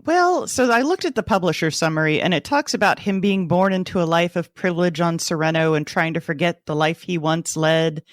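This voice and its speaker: A woman's voice: lowish voice, expressive, fairly quick, few pauses, no room sound, clean studio sound. A woman co-hosting a book club podcast, talking in a conversational style. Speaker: podcast co-host. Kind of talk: casual book club discussion.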